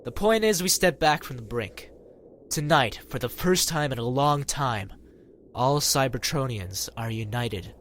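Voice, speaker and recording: A man's voice: medium pitch, animated, measured pace, fairly bright tone, a little hiss or hum. A man playing an earnest, idealistic and stubborn robot leader in audio-drama dialogue, speaking insistently. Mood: firm.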